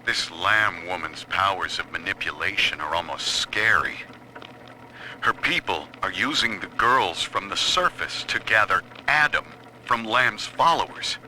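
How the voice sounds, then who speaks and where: A man's voice: low-pitched, expressive, measured pace, bright, forceful tone, full character voice. A man playing a grim, determined father in a dramatic game audio log. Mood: grim, resolute. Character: grim, determined father hunting for his taken daughter. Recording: faintly noisy, radio-like audio log.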